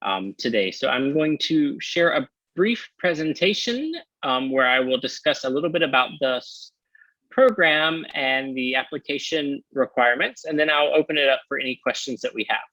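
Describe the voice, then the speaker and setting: A man's voice: mid-range voice, lively intonation, moderate pace, pushed and bright, dry recording, dull audio. A man presenting a university program in a webinar over a video call, friendly with frequent ums. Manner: friendly, many filler ums.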